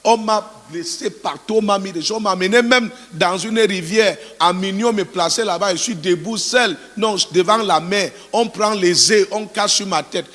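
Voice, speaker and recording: A man from Cameroon's anglophone southwest, preaching a testimony through an interpreter, halting with many restarts and emphatic appeals. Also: sits high, a bit bright. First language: English. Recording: long church hall reverb.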